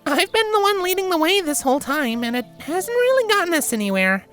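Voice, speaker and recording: Man putting on a very high, gentle young pony mare. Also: big pitch swings, brisk, faint music behind, exaggerated voice. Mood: uneasy. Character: gentle, embarrassed young female alicorn pony.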